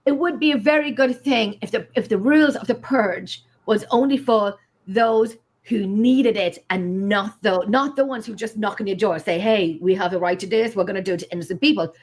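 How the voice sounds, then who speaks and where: A woman's voice: medium pitch, normal speed, hardly any pauses. A woman with an Irish accent, co-hosting a show in casual, conversational chat.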